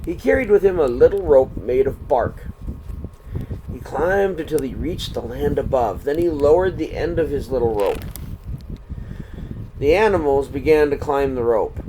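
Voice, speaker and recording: A man reading a children's story aloud in a deliberate, expressive way, pausing between phrases. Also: medium pitch, a little hiss or hum, poor audio.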